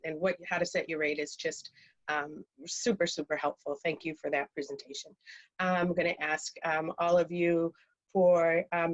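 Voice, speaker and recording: A woman with a slightly low voice reads out speaker bios as a panel moderator, pausing often on ums. Her voice comes through a video call. The audio is dull and lo-fi.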